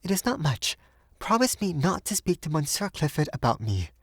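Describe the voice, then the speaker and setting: A man voicing a shy, nervous young Parisian woman in an audiobook, soft and hesitant, with an earnest delicacy. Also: high voice, very animated, moderate pace, no room sound, clean studio sound, pitched above the performer's own voice, exaggerated voice. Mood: pleading, gentle. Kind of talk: audiobook character voice.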